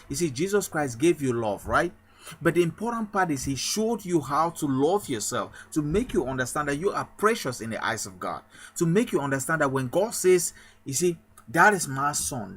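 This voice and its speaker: A man's voice: on the high side, a bit bright, husky. A man from Cameroon, a pastor, giving an emphatic motivational sermon with a repetitive rallying cadence.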